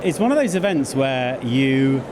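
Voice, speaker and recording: A man with a mid-pitched voice gives unscripted interview answers. His phrasing is halting and hesitant, full of pauses. He is recorded backstage with clear noise behind him. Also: very animated. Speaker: awards event producer being interviewed. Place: backstage at an events venue. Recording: noisy busy backstage location.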